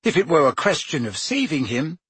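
Man playing a know-it-all teen girl, brisk and sensible, higher voice, bit breathy. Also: sing-song, fairly bright tone, no echo, exaggerated voice. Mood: reasoning. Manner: brisk, reasoning. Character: brisk, clever teenage girl, bossy and sensible.

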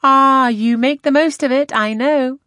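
High, ardent young woman, acted by a female reader. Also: unhurried, no echo, clean studio sound. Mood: ardent, eager. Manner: emphatic, excited, fervent. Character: spirited, headstrong young woman, ardently devoted and eager. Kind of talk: classic novel audiobook character voice.

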